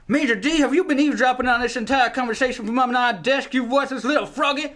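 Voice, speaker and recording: Man acting blustery, high-pitched folksy army general. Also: a bit bright. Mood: blustery, scheming. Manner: folksy, rambling, drawling asides. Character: blustery American general, scheming, folksy, older male officer. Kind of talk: comic radio play acting.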